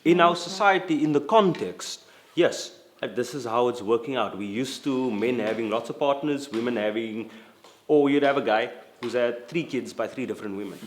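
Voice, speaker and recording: A man from South Africa, a photographer and activist, asking measured, articulate questions in a casual panel discussion. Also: mid-range voice, slight background noise.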